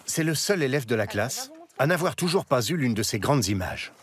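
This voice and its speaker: A man narrating a documentary in a measured, scripted voice-over. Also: mid-range voice, very animated. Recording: voice-over with faint music.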